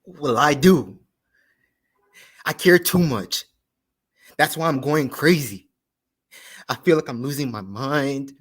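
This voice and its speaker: A grieving young man played by a male actor unloads in a dramatic monologue. His mid-pitched voice is bitter and raw with emotion, halting in heavy pauses and repeated words. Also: breathy edge. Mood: distraught.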